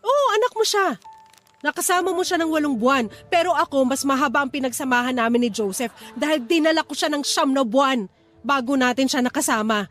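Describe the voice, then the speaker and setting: An actress playing a grieving, withdrawn and defensive wife, curt and emotional, in a Filipino radio drama. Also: female voice, sits high, a little fast, fairly bright tone, soft background music. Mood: bitter, anguished.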